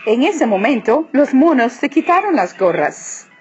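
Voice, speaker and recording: A woman narrating a children's story expressively, with deliberate, dramatic phrasing. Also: high voice, very animated, darkish tone. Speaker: storybook reader. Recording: muffled audio with music behind.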